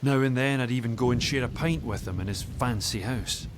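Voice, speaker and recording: An actor playing a gruff, proud older father who lives in the hills, wry in manner, in a video game. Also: mid-range voice, fairly quick, background noise, close to an everyday voice. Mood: reminiscing. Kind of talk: video game dialogue acting.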